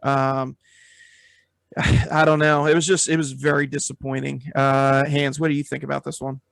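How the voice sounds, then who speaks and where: A man's voice: medium pitch, flat delivery, moderate pace, creaky, a bit bright, no room sound. A man hosting a casual film review chat, speaking in an opinionated, emphatic way. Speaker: podcast host.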